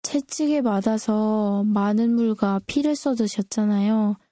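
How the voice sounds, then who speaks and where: Mellow-voiced woman in a Bible discussion. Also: medium pitch, measured pace, no echo. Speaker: co-host discussing scripture with a pastor. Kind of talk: scripted-sounding Bible discussion.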